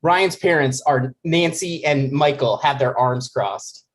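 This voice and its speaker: A man narrates screen directions for a live script reading. His voice sits at a middling pitch as he introduces and describes each scene. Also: slow. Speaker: host and reader of screen directions.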